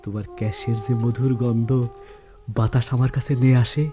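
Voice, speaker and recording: An actor playing a shy, dreamy village poet in love in a radio drama, speaking hesitantly and lyrically with a rural Bangladeshi accent. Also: male voice, mid-range voice, flat delivery, normal speed, mellow, faint music behind, close to an everyday voice.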